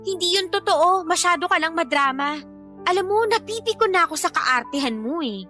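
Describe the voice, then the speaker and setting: A woman's voice: very high-pitched, animated, a little fast, pushed and bright, faint music behind, full character voice. An actress playing an irritated, defiant young mother in a radio drama, sarcastic and scolding, with a Filipino accent. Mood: indignant, sarcastic.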